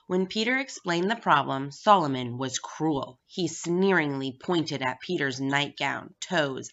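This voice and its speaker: A woman's voice: low voice, fairly bright tone. A woman reading a children's story aloud as scripted narration. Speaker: staff reader at a school. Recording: dull, lo-fi recording.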